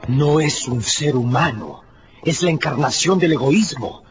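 An actor playing an educated, alarmed doctor in a radio drama, speaking in an insistent, emphatic way. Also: male voice, fairly high pitch, expressive, unhurried. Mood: urgent, insistent. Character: alarmed, urgent educated doctor, man of science. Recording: dull radio sound, faint music.

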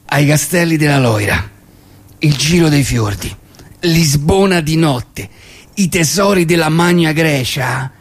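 A man plays an old Roman grandfather in a comic stage monologue. The voice is fairly high for a male and husky. He grumbles nostalgically, stammers, repeats himself and swears as he rants. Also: natural voice. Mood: wry storytelling. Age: older. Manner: ranting, repetitive, stammering, sweary. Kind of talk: comic monologue at a live reading.

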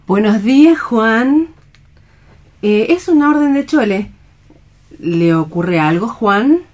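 A woman playing a gentle, hopeful woman in a radio play, speaking earnestly and with careful formality. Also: on the high side, expressive, slow pace. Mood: gentle concern. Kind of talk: theatrical radio play reading.

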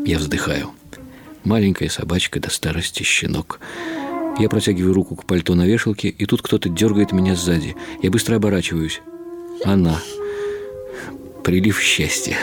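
An older man giving a reflective, expressive and unhurried dramatic reading of literary prose for radio. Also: low voice. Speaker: narrator. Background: music.

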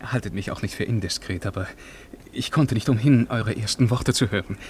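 An actor playing a grandiloquent, self-important scientist from the far future in a German radio play, with florid, ceremonious delivery. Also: male voice, fairly low pitch, a little fast, a little hiss or hum, lightly acted. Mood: apologetic, excited.

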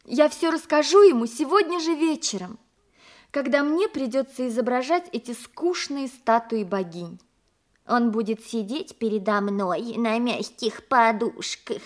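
An actress playing a vain, pampered, coquettish courtesan of ancient Greece in a theatrical audio drama, with an affected, gushing manner. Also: female voice, on the high side, animated, a little slow. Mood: dreamy, coquettish.

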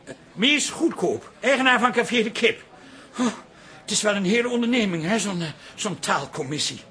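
Stammering self-important bar owner, high sharp voice, radio comedy. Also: male voice, animated, moderate pace. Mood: proud. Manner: stammering, hesitant. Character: flustered, self-important male café owner. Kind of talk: comic radio drama.

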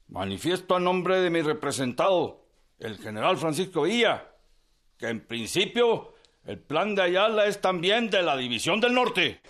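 A man's voice: high voice, sing-song, a little slow, a bit bright, slight background noise, exaggerated voice. An actor playing a colonel who speaks formally and insistently for his general in a radio drama.

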